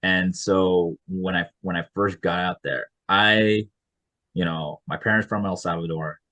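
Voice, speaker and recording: A man's voice: sits low, steady tone, a little slow, pushed and bright. A man from the United States, a graduate student researcher, talking casually on a video call with many restarts and little chuckles. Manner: rambling, frequent restarts, chuckles. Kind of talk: casual panel chat.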